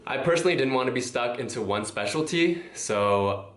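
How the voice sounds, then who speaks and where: A man's voice: mid-range voice, animated, fairly quick, a bit bright. A man from the United States answering interview questions in an upbeat, confident way. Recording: echoey room.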